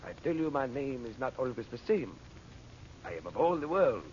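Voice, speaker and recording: An actor playing a sly sorcerer of French and Egyptian birth, speaking with a French accent in theatrical, sinister 1930s radio drama style. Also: male voice, medium pitch, very animated, normal speed, a little mellow, lightly acted. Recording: lo-fi old radio transcription with hiss.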